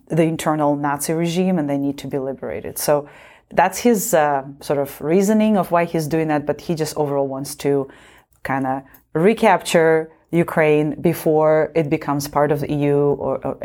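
A woman's voice: deep voice, darkish tone. A young woman from Kazakhstan speaking earnestly on a panel, explaining her view with light laughs. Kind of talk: panel discussion.

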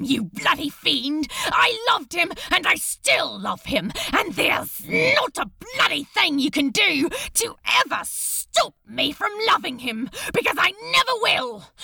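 A woman voicing a defiant male demon who shouts high and fast in fury. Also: gravelly, no echo, heavily acted.

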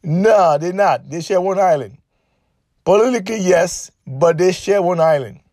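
A man's voice: sits high, animated, measured pace, a little mellow, no room sound, studio quality. A man giving an impassioned, preachy political monologue, emphatic and repetitive, who grew up in Haiti. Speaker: online commentator.

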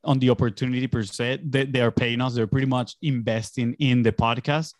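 A man's voice: mid-range voice, fairly even intonation, brisk, no echo, clean studio sound. Upbeat young man from Venezuela. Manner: upbeat, loose storytelling with many fillers. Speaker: podcast guest, entrepreneur. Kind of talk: casual interview chat.

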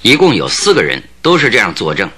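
Confident detective, radio drama, animated intonation, very bright hard-edged voice, probing, needling, theatrical. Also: male voice, on the low side, quick pace, husky, exaggerated voice. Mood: firm, reasoning. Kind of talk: Chinese radio drama acting.

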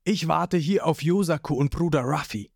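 A man's voice: on the high side, moderate pace, vocal fry, darkish tone, dry recording. Man playing a jittery young sidekick, stammering and fretful, dry close voice. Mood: nervous. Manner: nervous, stammering. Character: jittery young male bounty hunter sidekick.

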